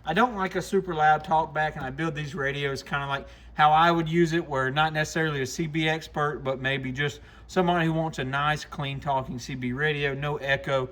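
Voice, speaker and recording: Bright-voiced man giving a casual product demo. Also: fairly high pitch, steady tone, fairly quick, few pauses. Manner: informal, plain-spoken, explanatory. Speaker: shop owner and channel host. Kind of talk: casual product demonstration for a video channel.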